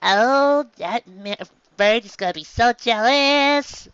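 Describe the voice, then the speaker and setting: A woman's voice: a little high, slow pace, a bit bright, smooth, no echo, muffled recording, voice pushed up. A woman voicing a gossipy, jealous talking mail bird from a cartoon, with a catty manner.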